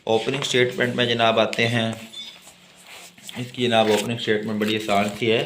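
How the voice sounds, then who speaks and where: A man's voice: fairly low pitch, level tone, background noise. A man with a Pakistani accent giving a didactic exam lesson as a law lecturer, explaining and reading out legal text. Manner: didactic, switching between Urdu explanation and slow English reading.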